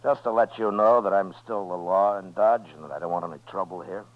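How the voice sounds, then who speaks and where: A man playing a calm, steely American Old West marshal in a 1950s radio western, speaking in a laconic, measured way. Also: low-pitched, lightly acted. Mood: dryly cool. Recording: dull vintage radio recording.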